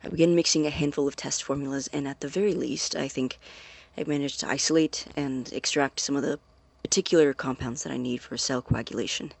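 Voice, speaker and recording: An actor playing a secretive, methodical male science student in an audio drama, dictating a tape diary with hesitant, precise wording in a gravelly, husky voice. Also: mid-range voice, steady tone, a little fast, few pauses. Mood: clinical, uneasy.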